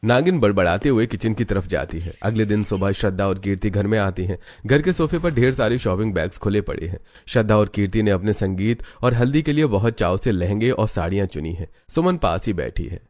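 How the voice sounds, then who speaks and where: A man's voice: on the low side, lively intonation, brisk, hardly any pauses, darkish tone. A man giving a dramatic scripted narration of a family story. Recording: music behind the voice. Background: background music.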